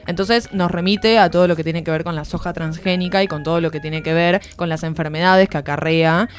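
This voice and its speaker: Low-pitched Argentine woman, music behind. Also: steady tone, brisk. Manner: informal, explanatory. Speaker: literary columnist on a radio show. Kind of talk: conversational radio book review. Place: radio studio.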